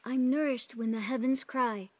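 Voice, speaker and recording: Game kid role: defiant little treasure hunter, high voice, dry sound voiced by a woman. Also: fairly even intonation, moderate pace, a little mellow, dry recording, natural voice. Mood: eager. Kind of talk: video game cutscene acting.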